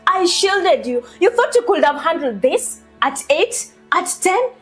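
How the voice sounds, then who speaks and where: A woman's voice: extremely high pitch, big pitch swings, measured pace, soft background music, heavily acted. An actress playing a controlling mother who scolds and defends herself to her teenage daughter. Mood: scolding, defensive. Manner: dismissive, scolding.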